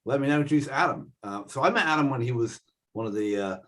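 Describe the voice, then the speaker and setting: Fast-talking husky male host. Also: medium pitch, animated, fairly bright tone. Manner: loose, chuckling, quick asides. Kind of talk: hosting a video panel.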